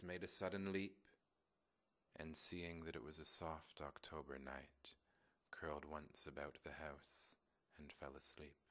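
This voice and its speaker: A man reading a poem aloud in a measured, expressive dramatic recitation, with phrases that often trail off quietly. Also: rumbling low. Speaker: poetry reader.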